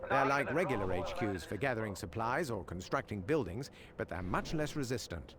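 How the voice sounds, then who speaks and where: A man's voice: fairly low pitch, animated, brisk, a loud crowd, natural voice. A man playing a wary, dutiful intelligence officer in a video game, formal and earnest in clipped military phrasing. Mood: urgent advising. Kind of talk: video game briefing dialogue. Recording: loud orchestral music behind. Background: loud game music.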